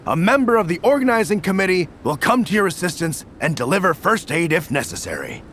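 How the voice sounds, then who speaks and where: A man voicing a formal, upbeat race referee in a video game, announcing in a measured, drawn-out way. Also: high-pitched, sing-song, fairly bright tone, a little hiss or hum. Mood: formal, welcoming. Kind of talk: ceremonial event announcing, scripted game dialogue.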